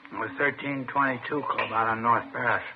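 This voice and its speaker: A man's voice: mid-range voice, steady tone, moderate pace, close to an everyday voice. Small-time snitch for radio crime drama, played by a male actor: American man, slangy, ingratiating, rambling, on a thin, hissy old broadcast. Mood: chatty, eager. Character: male small-time police informant, ingratiating, needy racetrack hustler. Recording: tinny, noisy vintage radio recording.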